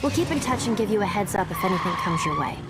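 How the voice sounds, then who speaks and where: Teen heroine, female actor: high, very expressive, brisk and assertive, game cutscene under loud music. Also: natural voice. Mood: firm, helpful. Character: sensible, assertive teenage girl, hero's cousin. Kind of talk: animated game cutscene acting.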